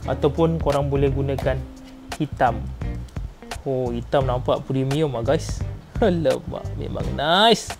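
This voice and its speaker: A man's voice: medium pitch, unhurried. A Malaysian man in his thirties giving a casual, enthusiastic, slangy unboxing presentation into a clip-on mic. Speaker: motovlog host. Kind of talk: casual product unboxing vlog. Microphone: clip-on lapel mic. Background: music.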